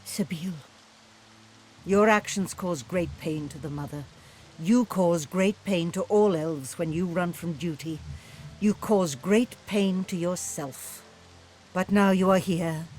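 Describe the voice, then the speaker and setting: A woman's voice: on the low side, darkish tone, a little hiss or hum, close to an everyday voice. A woman voicing a solemn, commanding elf emissary in a fantasy video game, speaking in short chant-like pronouncements.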